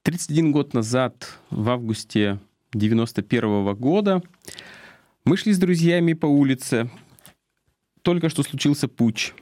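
A man in his forties giving political commentary as a radio host, speaking haltingly with pauses. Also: mid-range voice, darkish tone, no room sound, faint music behind. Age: 40s. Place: radio studio.

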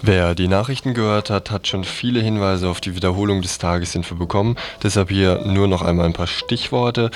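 A man presenting a semi-scripted programme overview on the radio. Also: sits low.

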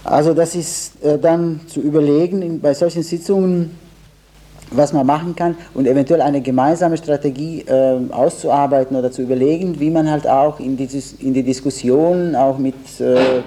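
Man speaking off the cuff, very dark voice. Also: mid-range voice, steady tone, normal speed. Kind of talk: spontaneous discussion contribution.

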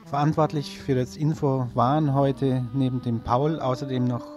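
A man's voice: mid-range voice, flat delivery, normal speed. A man presenting event listings on community radio in an informal, loosely improvised live style. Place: radio studio. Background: guitar music under the voice.

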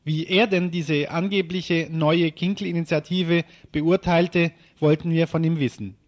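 A man's voice: a little high, steady tone, a little slow. A man presenting radio news, reading a scripted political commentary.

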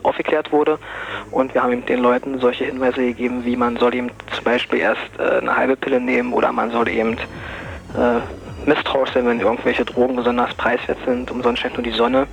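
A man giving a spontaneous, halting explanation as an interviewee. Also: medium pitch, little pitch movement, gravelly, muffled recording, slight background noise. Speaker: interviewee, drug education association board member.

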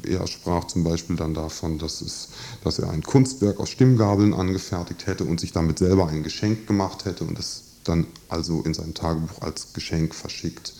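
A man giving spontaneous spoken commentary on a court case, halting and deliberate as he searches for exact wording. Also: low voice, warm tone.

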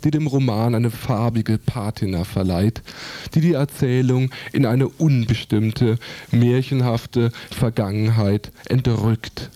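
A man's voice: mid-range voice, takes it slowly, warm tone. A man reading a scripted radio book review in formal, literary phrasing, with the odd stumble.

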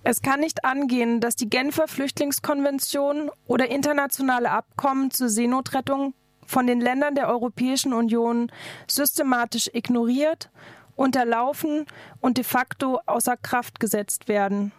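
A woman's voice: sits high, steady tone, normal speed, no echo, studio quality. A woman activist delivering a scripted political speech in an emphatic, declarative manner. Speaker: activist speaker.

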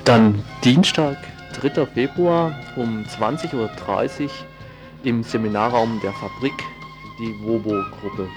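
A man with a mid-range voice reads out upcoming local events on the radio in a relaxed, chatty way, dropping in an occasional filler. Music plays clearly behind him. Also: medium pitch, takes it slowly. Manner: casual, conversational reading with fillers. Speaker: radio event-listings presenter. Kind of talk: reading out a local events calendar.

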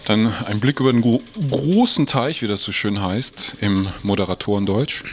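A man's voice: on the low side, normal speed, slight background noise. A man hosting a radio show informally, with an ironic, tongue-in-cheek manner.

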